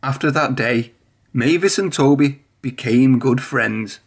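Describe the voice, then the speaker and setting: A man's voice: mid-range voice, measured pace, husky. A man reading a children's storybook aloud, narrating expressively. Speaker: storybook reader.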